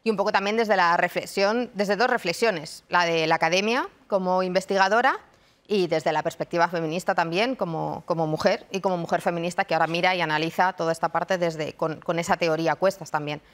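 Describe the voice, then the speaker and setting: A woman in her thirties from Madrid speaks in a fairly low voice, choosing her words with care. She restarts phrases as she thinks, in a calm, reflective interview tone. It sounds like a TV studio. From Madrid, Spain. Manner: thoughtful, measured, frequent restarts. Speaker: interview guest, university researcher and lecturer. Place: television studio.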